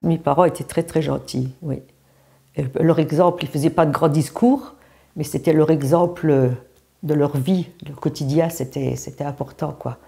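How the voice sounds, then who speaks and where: A woman's voice: sits low, steady tone, moderate pace, creaky, warm tone. A woman in a documentary interview, speaking proudly and reflectively about her family.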